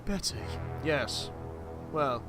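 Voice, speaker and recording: A man's voice: fairly high pitch, animated, extremely slow, loud music behind the voice. A man voicing a callous, imperious industrialist father in a comic dub, curt and commanding.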